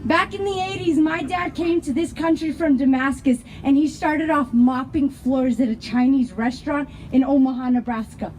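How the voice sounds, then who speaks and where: A young woman from Denver performing spoken word poetry, rhythmic and impassioned, building to shouted lines. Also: high-pitched, steady tone, normal speed, hardly any pauses, slight background noise, rough recording. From Denver, Colorado.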